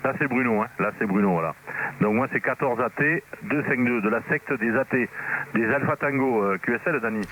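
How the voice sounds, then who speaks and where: A man's voice: medium pitch, fairly quick, a bit bright. A man talking as an amateur radio operator in loose, colloquial banter, repeating himself, over a noisy radio transmission. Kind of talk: casual bantering radio chat.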